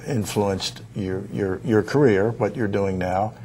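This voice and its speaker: A man's voice: on the low side, a little slow, a little mellow, slight background noise, low-quality recording. A man interviewing a guest conversationally, feeling his way through his sentences.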